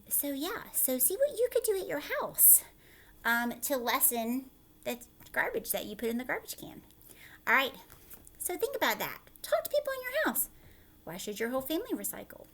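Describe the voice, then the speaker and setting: A woman with a fairly high voice speaks as a teacher to young children. She moves between reading a picture book aloud and chatty asides, keeping her wording simple and often tagging on short check-in questions. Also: fairly bright tone.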